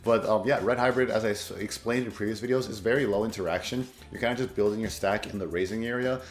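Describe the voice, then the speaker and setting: A hoarse man who has nearly lost his voice, rambling through casual commentary on card game tournament results as a content creator, with fillers and self-corrections. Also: fairly low pitch, fast, reverberant.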